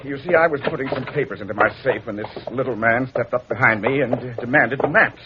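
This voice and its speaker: An actor playing a flustered, fussy old attorney, hesitant and dithering, with a husky voice in a 1940s radio drama. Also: male voice, mid-range voice, fast, background noise, close to an everyday voice. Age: older. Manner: dithering, hesitant, pleased with himself. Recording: muffled vintage radio recording.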